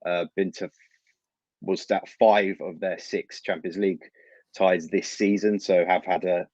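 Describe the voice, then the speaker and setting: Deep-voiced London man, slightly muffled sound. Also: flat delivery, a little slow, a little mellow, dry recording. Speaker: football journalist, guest expert. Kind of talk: conversational interview answers. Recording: slightly dull, lo-fi microphone sound.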